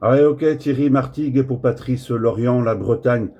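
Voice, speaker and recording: A man chatting casually as an amateur radio operator, talking in a rambling, hesitant, friendly-paced French radio-contact style with a husky voice. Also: mid-range voice, normal speed, muffled recording, noisy background.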